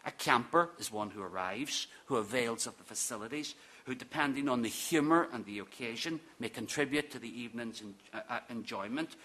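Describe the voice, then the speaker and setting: A man's voice: medium pitch, brisk, bright, forceful tone, slightly rough. A middle-aged man from Ireland reading a prepared lecture at a podium in a conference hall, with dry, wry asides. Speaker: academic speaker. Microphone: podium microphone.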